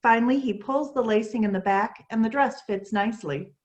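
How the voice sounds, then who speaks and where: A woman's voice: a little high, a little fast, darkish tone. A woman narrator reading scripted stage directions for a play.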